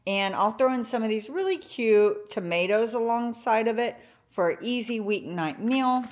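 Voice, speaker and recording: A woman's voice: on the high side, animated, moderate pace, slight background noise. A young woman casually chatting about her grocery haul at home, enthusiastic, with lots of filler words like "like" and "um.". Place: home kitchen counter.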